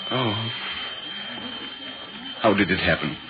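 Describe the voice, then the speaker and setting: An actor playing a solemn, shaken newspaper reporter who narrates gravely and deliberately in 1940s radio drama style. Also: male voice, lowish voice. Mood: shocked, urgent. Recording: dull noisy 1940s radio recording.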